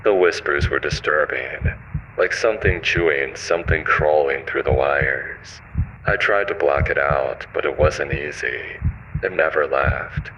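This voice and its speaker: A man with an extremely low, bottomed-out voice narrates a scripted horror tale. His delivery is measured and ominous, told in the first person. The audio is muffled and lo-fi, with faint radio-like noise behind him. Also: a bit bright.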